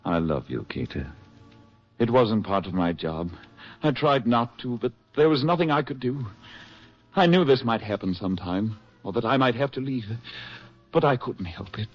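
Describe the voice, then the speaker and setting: An actor playing an exhausted, lovelorn spy on the run in a 1940s radio drama, with a halting, earnest delivery and a husky voice. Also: male voice, mid-range voice, animated, faint music behind, close to an everyday voice. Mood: tender confession. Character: hunted English spy, exhausted, tender, in love. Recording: muffled, husky-sounding 1940s radio recording.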